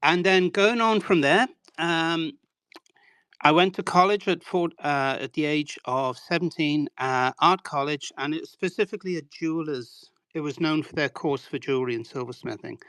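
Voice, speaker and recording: A man in his sixties from Wales speaks as an interview guest, telling his story in a loose, self-deprecating way with plenty of "sort of" and "um". His voice is smooth and resonant, recorded dry and close with no room sound. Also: a little high, moderate pace, fairly bright tone, no room sound. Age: 60s. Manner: rambling, self-deprecating, many hedging fillers.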